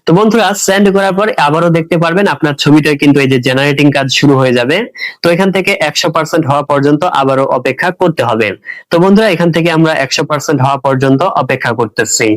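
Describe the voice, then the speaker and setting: A man's voice: fairly high pitch, racing, no room sound, poor audio. A man hosting a tech tutorial, narrating step by step and addressing viewers directly as friends.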